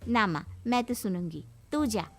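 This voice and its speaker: A woman's voice: mid-range voice, lively intonation, measured pace, fairly bright tone, soft background music. A woman playing a cheeky, persuasive young sister-in-law and aunt in a comic family story. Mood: concerned. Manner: teasing, coaxing.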